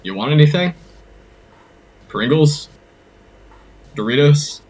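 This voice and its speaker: A man's voice: fairly high pitch, expressive, slow pace, a little mellow. A young man acting as a laid-back slacker college student in a naturalistic audio drama. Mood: breezy, casual.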